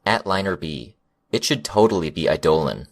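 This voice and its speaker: A man's voice: sits low, lively intonation, normal speed, no room sound. A man voicing a boastful, evasive young internet forum poster in an audiobook, with a defensive manner. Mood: eager. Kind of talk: audiobook character acting.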